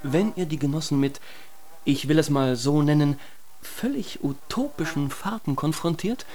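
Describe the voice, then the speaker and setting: An actor playing a pedantic secret police surveillance instructor in a radio drama, correcting like a schoolmaster. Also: male voice, fairly high pitch, lively intonation, normal speed, darkish tone, natural voice. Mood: fussy, correcting.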